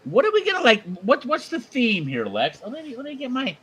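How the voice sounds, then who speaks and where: A man's voice: extremely high pitch, wildly swooping pitch, fairly quick, a crowd in the background. A man co-hosting a live music video show in casual chat, jokey and self-deprecating, and he often stammers.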